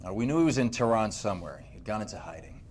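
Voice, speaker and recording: A man's voice: fairly low pitch, animated, quick pace, darkish tone, breathy edge. An actor playing a male marine sergeant being interrogated in a game cutscene, guarded and defiant. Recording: noisy background.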